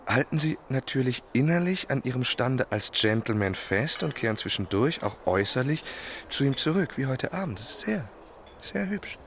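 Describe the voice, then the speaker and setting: An actor playing a lovesick young French marquis in a German radio play, chatty and self-pitying, with effusive, faltering gentility. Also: male voice, mid-range voice, big pitch swings, moderate pace, a bit bright, slight background noise. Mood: confiding, tipsy. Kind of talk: German radio drama acting.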